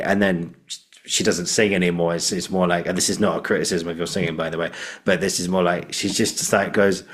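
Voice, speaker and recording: A man hosting a casual interview, feeling his way haltingly through long, roundabout questions. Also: low-pitched. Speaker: podcast host.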